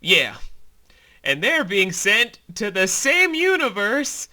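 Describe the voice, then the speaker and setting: Male creator-host, higher-pitched and sharply bright, rambling eagerly through informal commentary. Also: sing-song, takes it slowly. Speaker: show creator co-hosting a commentary. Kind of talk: casual behind-the-scenes commentary chat.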